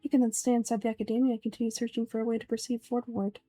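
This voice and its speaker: A woman's voice: a little high, flat delivery, rapid-fire, dark tone, no echo, soft background music, natural voice. A woman voicing a pompous, coldly calculating old male villain from a game, speaking formally and condescendingly. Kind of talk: amateur voice-over of game dialogue.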